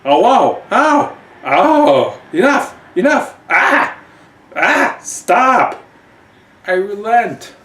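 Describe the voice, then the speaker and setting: Casting: regal, emphatic comic-book sea queen, done by a man, slow and haughty, distant mic. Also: high voice, sing-song, fairly bright tone, pitched above the performer's own voice, heavily acted. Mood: overwhelmed, pleading. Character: haughty, gracious undersea warrior queen. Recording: roomy, distant mic, faint noise.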